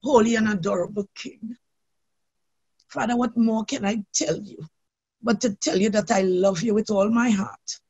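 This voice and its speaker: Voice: medium pitch, steady tone, normal speed, pauses often, dark tone, breathy edge, muffled recording. A worship leader praying aloud in a fervent, impassioned, pleading way, calling on God again and again.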